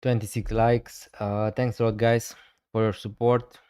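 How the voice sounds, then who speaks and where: Relaxed man streaming live. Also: lowish voice, a little slow, smooth, no room sound, studio quality. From Romania. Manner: relaxed, hesitant, many fillers. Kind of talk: casual live stream chat.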